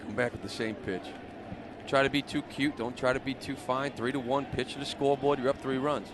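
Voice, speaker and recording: A man's voice: mid-range voice, steady tone, brisk. A man giving conversational color commentary and analysis during a live baseball radio broadcast. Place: outdoor ballpark broadcast booth. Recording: loud stadium noise behind the voice.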